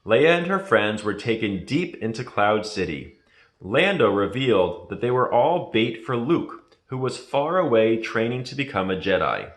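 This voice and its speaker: A man reading a children's story aloud as a scripted narration, in a dramatic, deliberate manner. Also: medium pitch, sing-song. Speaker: storybook reader. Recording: echoey room.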